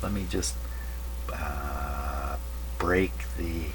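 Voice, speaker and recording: A man's voice: very deep voice, steady tone, drawn-out words. A man thinking aloud casually while testing electronics, tentative and often questioning himself. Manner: tentative, muttering, self-questioning. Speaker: radio repair vlogger. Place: radio repair workshop. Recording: loud electrical hum and crackling noise. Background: humming, noisy old radio being tested.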